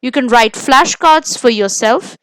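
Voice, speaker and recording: A woman with an Indian accent and a high voice narrates an instructional lesson. Her delivery is scripted, measured and emphatic, as a coach giving tips. The sound is dry and close, with very little room in it. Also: smooth, no room sound. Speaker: presenter of interview coaching lesson.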